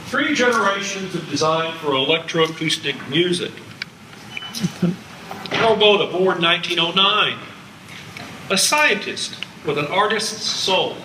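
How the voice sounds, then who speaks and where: Male American music lecturer, older, highish forceful voice, dry humor, recorded at a distance in a live room. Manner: wry, jokey asides. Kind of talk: public lecture with slides. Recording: echoey hall, distant, faint background noise.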